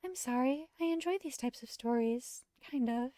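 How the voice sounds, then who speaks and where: Woman acting a young angel girl, high-pitched, gentle mellow tone, shy, very polite, visual novel character, close dry sound. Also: moderate pace, no echo, natural voice. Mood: shyly apologetic. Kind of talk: visual novel dialogue read aloud.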